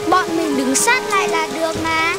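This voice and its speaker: Casting: kind young cartoon animal, very high childlike voice, eager and coaxing, music behind. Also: moderate pace. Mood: urging. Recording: music bed, rain sounds behind. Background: music and rain.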